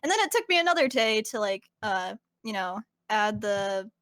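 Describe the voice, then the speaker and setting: A woman with a medium-pitched voice talks casually as a voice actor and editor. The sound is dry, with no room on it. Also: sing-song, normal speed, a bit bright, dry recording. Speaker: fan dub voice actor and editor. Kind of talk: casual chat.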